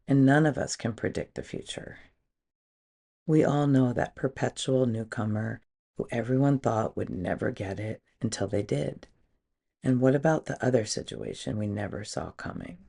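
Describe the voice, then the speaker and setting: Woman reading a daily meditation for a podcast, lowish voice, lots of vocal fry. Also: a little mellow. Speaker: guest reader on a recovery podcast.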